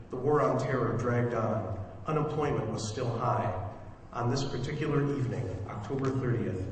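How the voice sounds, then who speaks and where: A man playing a solemn narrator in a radio play, speaking in a grave, deliberate, literary way. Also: medium pitch, darkish tone, recorded from a distance, natural voice. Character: solemn male narrator of an invasion tale. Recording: very echoey hall, faint noise.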